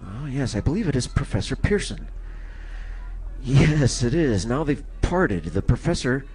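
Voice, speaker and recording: A man's voice: medium pitch, very animated, darkish tone, slightly rough, full character voice, rough recording. An actor playing a panicked field reporter in a 1930s radio drama, breathless and stammering as he describes an alien attack. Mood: tense, hushed. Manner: breathless, halting, stammering delivery.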